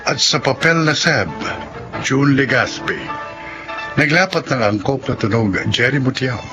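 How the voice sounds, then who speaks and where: A man's voice: mid-range voice, expressive, measured pace. A man announcing credits and introducing a radio drama in a scripted presenter style. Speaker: announcer and host. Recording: loud theme music behind.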